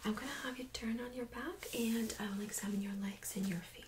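A young woman speaking in a soft, breathy near-whisper, gently playing a medical examiner in an ASMR role-play. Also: fairly high pitch, steady tone, background noise. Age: young adult. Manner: gentle, unhurried, reassuring. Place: small carpeted exam room, indoors. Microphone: USB microphone on a stand nearby.

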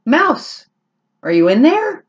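A tiny, eager kitten boy with a high, squeaky voice and big talk, played by a woman reading aloud. He swings up and down with excitement and stays polite even when bragging. The sound is dull and lo-fi. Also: slow pace, full character voice. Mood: politely curious. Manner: politely squeaky, puffed-up bravado. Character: small boastful male kitten, eager and polite.

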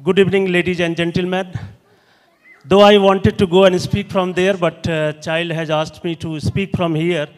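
A middle-aged man with an Indian accent delivers a formal welcome address. His voice is high for a man, with emphatic phrasing, and it rings through a large auditorium's PA with long reverb. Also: flat delivery, moderate pace. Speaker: school principal. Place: large indoor school auditorium stage. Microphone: handheld microphone.

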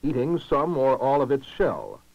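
A male narrator from a 1960s educational film, speaking in a formal, measured way with expressive rises and falls. His mid-pitched voice comes through a dull, muffled old film soundtrack with very little room sound. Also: no echo. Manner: formal, measured, clearly enunciated delivery. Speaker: documentary narrator.

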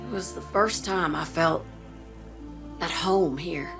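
Young game pilot, lower-pitched, informal, fond reminiscence, clear background music. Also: female voice, slow pace. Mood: fond nostalgia. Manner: informal, wistful. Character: plainspoken young female pilot, fondly reminiscing. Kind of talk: video game audio recollection.

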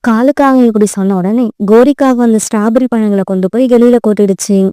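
A woman's voice: on the high side, a little fast, warm tone, clean tone, no echo, clean studio sound. A woman narrating a children's story from a script.

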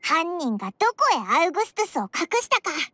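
A woman's voice: extremely high pitch, very animated, racing, fairly bright tone, no room sound, studio quality, exaggerated voice. A woman voicing a tiny, childlike fairy companion in a game, cheeky and eager, with brash boyish speech. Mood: smug, excited. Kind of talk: Japanese game dub dialogue.

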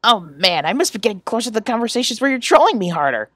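A woman's voice: fairly high pitch, very animated, fast, background noise, voice pushed up. A woman voicing a goofy, earnest teenage boy from a webcomic, playful and exclamatory, in an amateur character reading. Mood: excited, teasing.

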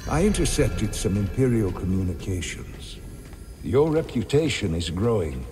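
An older man playing a courteous, learned Jedi scholar in a video game, speaking in a measured, formal, gently wry way. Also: lowish voice, sing-song. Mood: genial. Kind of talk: video game cutscene acting. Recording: reverberant game scene with music. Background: cinematic music.

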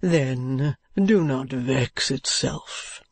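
A foppish, affected gentleman of a classic storybook, acted out by a narrator. He drawls and stretches his words with melodramatic, poetical flourish. The sound is dry and close. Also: medium pitch, extremely slow, breathy edge, dry recording, full character voice. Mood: affected, indignant. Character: foppish, affected, drawling adult male dandy husband. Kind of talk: classic novel audiobook character.

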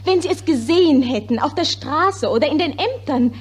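A very high-pitched stage diva in an old 1940s German radio play. She is effusive and polite, quick to protest and quick to defer, flustered in her manners. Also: expressive. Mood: indignant. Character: flustered, appeasing Viennese stage actress back from Russia.

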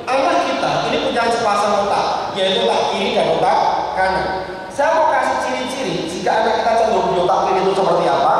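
Echoey high-voiced Javanese-accented male preacher. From Indonesia. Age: middle-aged. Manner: rhetorical questions answered by himself. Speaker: Islamic preacher and psychologist giving a parenting talk. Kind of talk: public religious lecture, explanatory. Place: large indoor hall, seated at a podium table. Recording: echoey hall, distant mic, faint noise.

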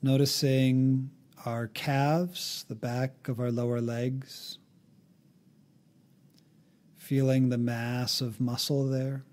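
Slow, calm man guiding meditation. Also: medium pitch. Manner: calm, unhurried, gently instructive with long pauses. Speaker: meditation guide. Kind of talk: guided meditation narration.

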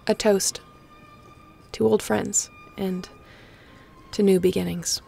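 A woman acts out a snarky space captain with a very creaky, mid-pitched voice. She is guarded yet affectionate and speaks casually and teasingly, as in an intimate sci-fi audio drama. Faint music plays underneath. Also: fairly even intonation, takes it slowly, warm tone, exaggerated voice. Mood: warm. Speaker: voice actor in a sci-fi audio roleplay. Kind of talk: intimate audio roleplay acting.

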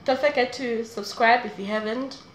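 A woman's voice: a little high, fairly even intonation, normal speed, echoey room, slight background noise. A woman in her twenties talking straight to camera in a casual, rambling advice vlog, laughing often. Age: 20s. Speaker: vlogger. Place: small room indoors.

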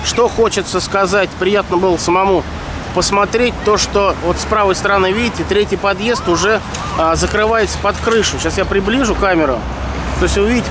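A high-voiced man in his thirties gives a casual walking tour, rambling and restarting his sentences. He talks into a camera held at arm's length in an open city park. Passing cars and street noise are clearly heard behind him. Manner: chatty, rambling with many fillers and restarts. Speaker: real estate agent. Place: outdoors in a city park by a busy road. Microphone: handheld camera mic at arm's length.

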